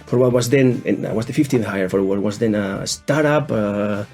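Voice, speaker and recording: A man's voice: fairly low pitch, moderate pace, darkish tone, soft background music. A man with a Spanish accent, a native Spanish speaker guesting on a podcast, earnest and casually swearing.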